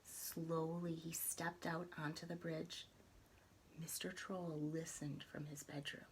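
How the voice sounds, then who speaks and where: A woman reading a children's story aloud in an expressive, deliberate, dramatic way. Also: deep voice, slight background noise, low-quality recording. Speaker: storybook reader.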